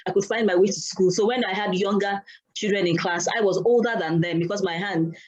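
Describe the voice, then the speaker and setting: A woman's voice: mid-range voice, steady tone, fairly quick, dull audio. A Nigerian woman whose first language is Yoruba, telling a story earnestly on a panel and stopping to search for words. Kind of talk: casual panel discussion.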